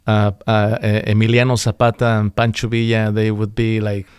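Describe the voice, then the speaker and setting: A man's voice: lowish voice, flat delivery, normal speed, clear, resonant tone, no echo, clean studio sound. A middle-aged man, a musician chatting as a guest, talking in a loose, rambling way full of fillers and restarts. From Mexico City. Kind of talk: casual interview chat.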